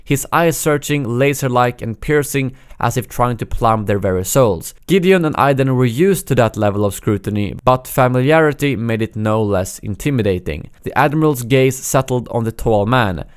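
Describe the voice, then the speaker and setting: A man with a Swedish accent who hosts a gaming news channel, reading a novel excerpt aloud in a steady, plain voice. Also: mid-range voice, normal speed, few pauses, clean tone.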